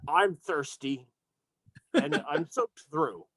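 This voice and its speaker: A man's voice: on the high side, wildly swooping pitch, slow, a loud crowd, full character voice. A man voicing a grave, weary dwarf adventurer with formal, old-fashioned phrasing in a tabletop game.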